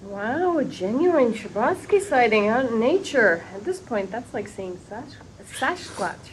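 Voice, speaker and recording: An actress reading a wry, warm woman friend at a table read. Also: on the high side, sing-song, measured pace, a little hiss or hum, rough recording. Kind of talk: table-read line delivery.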